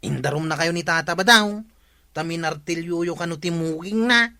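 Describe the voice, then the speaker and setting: A man's voice: a little high, moderate pace, pushed and bright. A man with an Ilocano accent playing an official, businesslike village messenger in a radio drama. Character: brisk, official village messenger delivering summonses.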